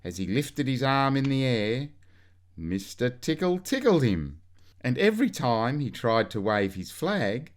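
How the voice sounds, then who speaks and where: A man reading a children's storybook aloud in an expressive way, drawing out key words for emphasis. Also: mid-range voice.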